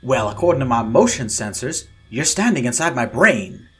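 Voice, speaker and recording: A man voicing a rude, gruff male scientist living inside a computer, with a broad Australian accent and a brash manner. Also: mid-range voice, very animated, normal speed. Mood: annoyed. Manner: brash, annoyed, shouting.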